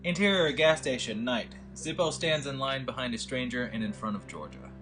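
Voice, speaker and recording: A man reads the stage directions at a table read, plain and steady, with a mid-range voice. Faint music sits underneath. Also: mid-range voice, fairly quick. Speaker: script reader of stage directions. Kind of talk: reading scene headings and action.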